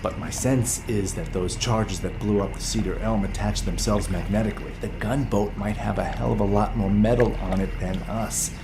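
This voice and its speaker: A man playing a daring, quick-thinking submersible pilot in a sci-fi audio drama, terse, urgent and commanding. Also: lowish voice, steady tone, natural voice. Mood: scheming, confident. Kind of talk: full-cast sci-fi audio drama acting. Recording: loud music and sea sounds behind.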